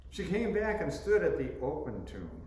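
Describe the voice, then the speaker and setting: An older man in his sixties, a priest reading a sermon slowly and deliberately from the pulpit, his voice rising and falling. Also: on the high side. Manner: slow, deliberate delivery with lively rises and falls. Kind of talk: sermon read from a script. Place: church sanctuary by the organ pipes. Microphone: pulpit microphone on a stand. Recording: echoey church from a distant camera.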